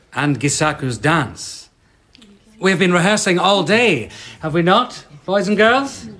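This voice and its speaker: An actor playing an eccentric court performer and earnest mystic in a radio drama, speaking with weighty, declamatory solemnity. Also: male voice, on the high side, fairly bright tone, full character voice. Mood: theatrical, showy.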